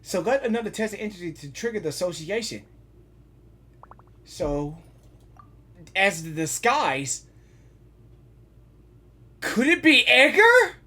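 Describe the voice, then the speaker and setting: A woman giving casual live gaming reactions, excitable and repeating herself as she thinks aloud. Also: mid-range voice, takes it slowly, pauses often, slightly rough.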